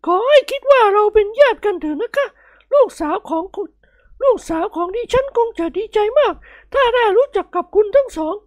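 Plump middle-aged matron, storybook comedy: very high, effusive, coy, flirtatious. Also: female voice, expressive, brisk, darkish tone, no room sound, clean studio sound. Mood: delighted, coaxing. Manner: polite, effusive, coy feminine speech. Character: plump, gushing middle-aged society lady, flirtatious and insistent.

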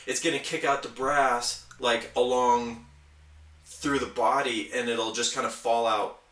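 A man's voice: medium pitch, steady tone, measured pace, a bit bright. A man chatting casually as a podcast host, explaining his hobby with nerdy enthusiasm. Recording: roomy, echoey, distant from mic.

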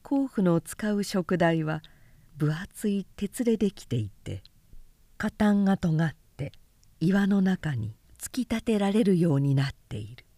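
Slow, low-voiced woman reading a novel. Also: sing-song, dark tone, no room sound. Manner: measured, deliberate phrasing with pauses. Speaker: narrator reading a novel aloud. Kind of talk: scripted literary narration, audiobook recitation.

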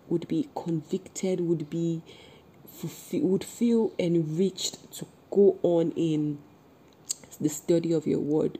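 Excitable Nigerian American woman podcast host. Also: low voice, slight background noise. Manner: excitable, laughs often, exclaims.

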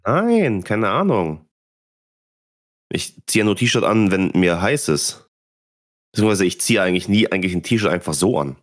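A man's voice: deep voice, sing-song, normal speed, long pauses. A man with a stuffy nose from a cold, co-hosting a podcast and teasing and pushing back in casual chat. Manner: teasing, skeptical, pushes back. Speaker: podcast co-host.

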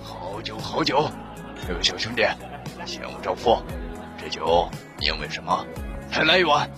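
A man playing a rough, loud, demanding tavern customer with a gruff, blustering manner in an audio drama. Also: sits low, sing-song, moderate pace, music in the background. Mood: impressed. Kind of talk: Chinese audio drama acting.

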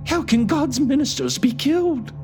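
A man voicing a frantic, half-mad male curate who wails and shouts in a high voice. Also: animated, normal speed. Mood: panicked. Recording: music playing behind.

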